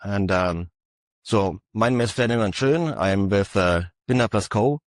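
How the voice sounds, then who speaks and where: A man presenting his company's equipment at an industry session, speaking haltingly with many filler uhs. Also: fairly low pitch, no room sound, clean studio sound. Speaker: conference panelist.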